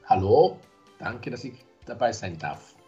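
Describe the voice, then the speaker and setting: A man's voice: lowish voice, sing-song, measured pace, dark tone, lo-fi sound, faint music behind. A middle-aged man from Nigeria, a podcast guest talking casually and hesitantly, with many fillers and restarts. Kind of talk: casual interview talk.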